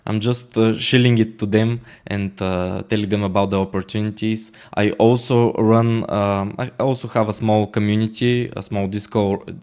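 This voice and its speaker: Low-voiced Bulgarian man, halting speech. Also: steady tone, darkish tone, clear, resonant tone. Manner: rambling, many fillers and restarts. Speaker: guest, smart contract auditor. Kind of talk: casual interview chat.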